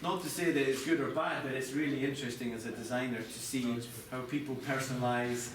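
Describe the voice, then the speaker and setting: A man's voice: medium pitch, steady tone, brisk, far from the mic. A middle-aged Scottish man giving a live presentation as a designer, in a conversational, hesitant way with restarts. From Scotland. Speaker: chief TV product designer presenting. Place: echoey presentation room with audience. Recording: echoey room from afar, clear background noise.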